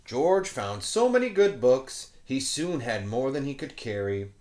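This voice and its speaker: A man's voice: medium pitch, big pitch swings, measured pace, a little mellow, a little hiss or hum. A man reading a children's picture book aloud expressively, with playful asides to young listeners. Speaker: children's story reader, teacher.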